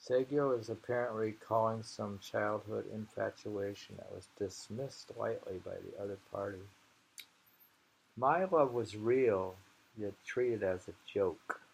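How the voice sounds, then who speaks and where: A man reading poetry aloud informally, with musing, halting commentary and frequent pauses, in an amateur recording. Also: lowish voice, vocal fry, breathy edge, poor audio.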